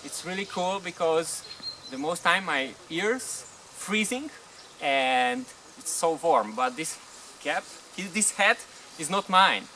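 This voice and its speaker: A young Hungarian man with a Hungarian accent, chatting in halting learner English for a casual vlog outdoors in the mountains, laughing often. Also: on the high side, expressive. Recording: distant mic with loud background noise.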